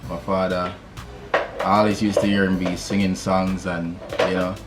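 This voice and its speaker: Deep-voiced Jamaican man, laid-back. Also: level tone, normal speed, loud music behind the voice. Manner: laid-back, many fillers, laughs easily. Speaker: musician guest. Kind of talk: casual interview storytelling.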